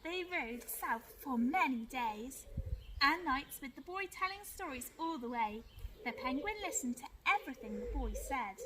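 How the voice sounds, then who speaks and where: A woman teacher reading a picture book aloud to young children in an expressive, clearly phrased way. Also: high-pitched, noisy background. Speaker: primary school teacher.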